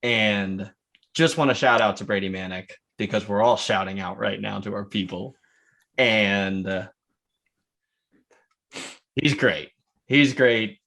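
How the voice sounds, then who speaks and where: An American man co-hosting a podcast, chatting about sports with deliberate, slightly grand phrasing. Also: fairly low pitch, pushed and bright. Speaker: podcast co-host. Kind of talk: casual sports podcast chat.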